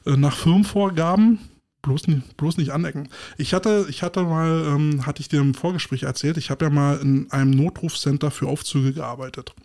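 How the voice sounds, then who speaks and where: Ein Mann mit Berliner Akzent, Co-Host eines Podcasts, der im lockeren Gespräch lebhaft Anekdoten erzählt und Dialoge nachspielt. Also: male voice, fairly high pitch, normal speed. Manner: lively storyteller, acts out dialogue. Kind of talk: casual chat, anecdotes.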